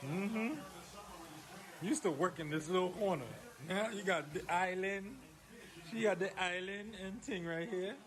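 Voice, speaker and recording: A man's voice: high-pitched, unhurried, crowd noise. A young man casually chatting and teasing his wife as he films her cooking in their apartment kitchen, holding the camera himself. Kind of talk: casual vlog chat. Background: music playing.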